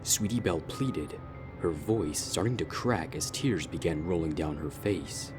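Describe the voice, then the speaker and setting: A man's voice: sits low, measured pace. A man reading scripted dramatic fiction as the narrator. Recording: music under the voice.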